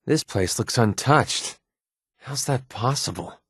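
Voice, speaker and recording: A man's voice: medium pitch, expressive, slow, a bit bright, husky, no echo, clean studio sound, heavily acted. A man with an American accent playing a sarcastic, wisecracking young mercenary companion in a video game. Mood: wary, alert. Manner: sardonic, wisecracking, colloquial. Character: wry, sarcastic young male wasteland mercenary. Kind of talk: video game companion dialogue, naturalistic acting.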